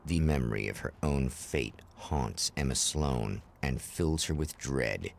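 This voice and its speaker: A man narrating a video game in a slow, grave, foreboding tone. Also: rumbling low, vocal fry, heavily acted. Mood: ominous. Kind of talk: ominous scripted narration. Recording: game audio with noisy ambience.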